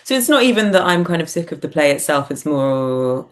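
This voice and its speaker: A woman's voice: deep voice, big pitch swings, fairly quick. A woman speaking as an interview guest, reflective and hesitant, thinking aloud as she goes. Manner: hesitant, thinking aloud, punctuated by small laughs. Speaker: guest, writer-performer.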